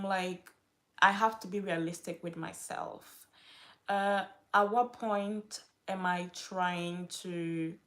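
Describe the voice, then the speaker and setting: A young woman from Africa talking casually to her camera as a vlogger, rambling and often interrupting herself as she loses her train of thought. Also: lowish voice. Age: young adult.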